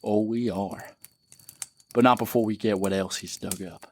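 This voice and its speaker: A man's voice: lowish voice, fairly even intonation, normal speed, a little mellow, natural voice. A man playing a greedy, domineering bandit with a drawl in an audio drama. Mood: greedy, commanding. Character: greedy, ruthless, domineering male bandit, older brother type. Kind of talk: audio drama acting, folksy Old West dialect.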